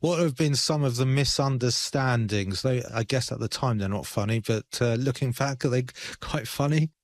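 A man asking measured questions as a broadcast interviewer. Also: medium pitch, dry recording.

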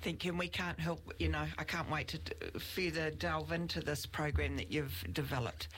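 A woman's voice: low-pitched, fairly even intonation, moderate pace, slightly rough. Enthusiastic New Zealand woman hosting. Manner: warm, enthusiastic, with frequent fillers. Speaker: chief executive hosting a community radio podcast. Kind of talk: casual chat.

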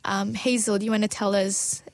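A woman's voice: mid-range voice, little pitch movement, fairly quick, no echo. Woman hosting, encouraging questions. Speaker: host. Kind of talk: interview.

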